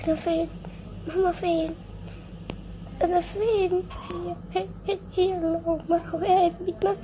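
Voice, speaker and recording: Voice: extremely high pitch, little pitch movement, normal speed, noisy background. A young performer voicing a terrified girl trapped in an earthquake, sobbing and pleading in an Egyptian accent. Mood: hurt, panicked. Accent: Egyptian Arabic. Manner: sobbing, pleading, repeating herself.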